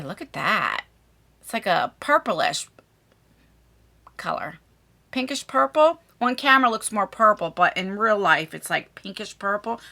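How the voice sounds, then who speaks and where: Casting: woman, middle-aged, effusive beauty vlogger, bright forceful voice with a gravelly fry, casual unboxing chat. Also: medium pitch. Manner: effusive, talks to viewers and to the items. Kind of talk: casual chatty product unboxing.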